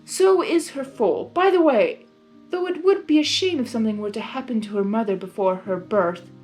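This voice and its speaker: A woman's voice: fairly high pitch, normal speed, a little mellow, soft background music, natural voice. Woman voicing a smug, taunting chaos creature villain. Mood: smug, breezy. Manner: breezy, taunting, theatrical. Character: smug, mocking male chaos creature villain with paw and claw.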